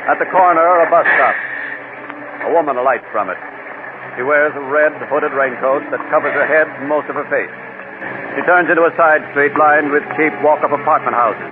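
Actor as terse radio crime narrator, tinny sound. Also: male voice, fairly high pitch, normal speed, noisy background, close to an everyday voice. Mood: ominous. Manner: clipped, dramatic. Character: terse, suspenseful male crime-story narrator. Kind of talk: 1940s radio dramatic narration. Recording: tinny old radio recording, music behind.

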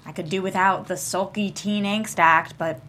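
A woman plays a grandmother who is firm but patient, with a wry, measured way of talking. Her pitch is middling, and faint room noise sits behind the reading. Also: steady tone, pushed and bright, close to an everyday voice. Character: firm, patient grandmother trying to keep peace. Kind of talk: script table read, acted naturally.

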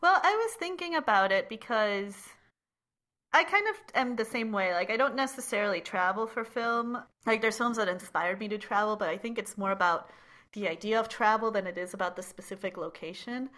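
Bright-voiced giggly woman podcaster. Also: medium pitch, expressive, brisk. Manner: giggly, laughs often, chatty with filler "like". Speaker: podcast co-host. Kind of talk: casual chat.

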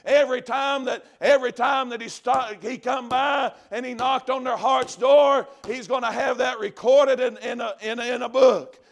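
A man preaching a fiery revival sermon to a congregation, shouting and drawing out his words for emphasis, with lots of repeated phrases. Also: extremely high pitch, steady tone, normal speed, hardly any pauses.

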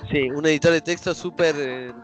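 A man co-hosting a casual tech talk, relaxed, with many fillers and restarts. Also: fairly high pitch, moderate pace, soft background music. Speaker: co-host.

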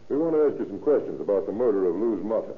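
An actor playing a brisk, shrewd male police captain, clipped and commanding, in an old-time radio crime drama. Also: lowish voice, expressive, natural voice. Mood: businesslike, musing. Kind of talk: 1930s-40s radio drama acting. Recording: thin, phone-like old-time radio recording.

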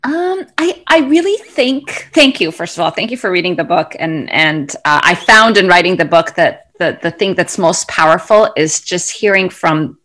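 Earnest woman from Egypt, low voice. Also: lively intonation, normal speed, few pauses, a bit bright. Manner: earnest, frequent fillers and restarts. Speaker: interview guest, tech founder. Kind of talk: conversational interview answers.

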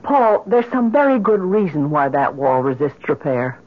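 A woman's voice: deep voice, big pitch swings, normal speed. A woman playing an uneasy, brooding middle-aged housewife, acting dramatically in an old-time radio drama. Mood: brooding, ominous. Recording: noisy, muffled old radio recording.

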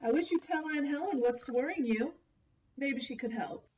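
A woman's voice: high voice, animated, normal speed, darkish tone, lo-fi sound, slight background noise. A woman playing a caring, motherly telephone operator in an old-time radio drama, coaxing in friendly slang.

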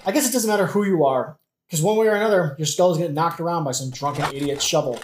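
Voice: medium pitch, quick pace, lightly acted. A performer playing a brooding, indignant young prince who rants sardonically in a modern comic audio drama. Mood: sardonic. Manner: ranting, sardonic, swearing. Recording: roomy echo, faint noise.

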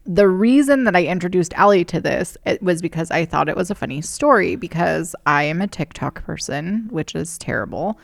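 A woman's voice: lowish voice, expressive, normal speed, creaky, few pauses, no echo, studio quality. A young adult woman co-hosting a casual chat podcast, telling personal stories in a giggly, self-mocking way. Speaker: podcast co-host.

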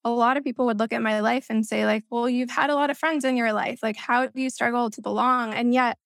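A woman's voice: on the high side, steady tone, brisk, vocal fry, dry recording, clean studio sound. A woman from the United States whose first language is Spanish, talking as a guest in a podcast conversation, earnest and reflective, often saying "like". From United States (Missouri).

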